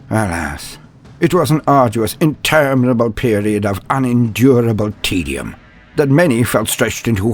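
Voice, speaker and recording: Husky male storyteller with sweeping, theatrical delivery. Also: fairly low pitch. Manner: theatrical, grandiose, wry deliberate pauses. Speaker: narrator of fan fiction lore. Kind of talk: dramatic scripted story narration. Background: background music.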